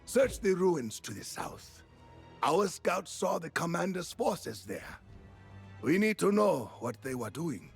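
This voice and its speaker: A man playing an earnest military strategist in a fantasy video game, speaking in a formal, deliberate way. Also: a little high, very animated, gravelly, over music, heavily acted. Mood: commanding. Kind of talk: scripted video game dialogue.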